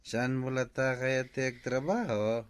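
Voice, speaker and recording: A man's voice: medium pitch, unhurried, clear, resonant tone, lightly acted. A man with an Ilocano accent playing a nosy, nagging village friend in a comedy drama.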